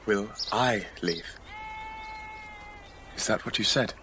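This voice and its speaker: An actor playing a stern, pious young clergyman in a period radio drama, solemn, commanding and measured. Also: fairly low pitch, noisy background. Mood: cold insistence. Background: dramatic underscore music.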